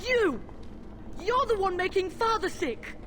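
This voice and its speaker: A woman's voice: extremely high pitch, expressive, unhurried, background noise. A woman playing a demon in a child's guise in a fantasy video game, imperious and hostile with threats. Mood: petulant anger.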